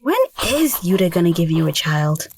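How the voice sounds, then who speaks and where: A woman's voice: fairly low pitch, big pitch swings, normal speed, slight background noise. An actress playing a blunt, teasing young village woman in an audio drama.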